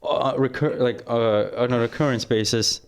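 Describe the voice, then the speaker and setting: A man's voice: medium pitch, fairly even intonation, normal speed, clear, resonant tone. A young man co-hosting a casual podcast, talking in blunt, joking banter. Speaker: podcast co-host. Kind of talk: casual chat.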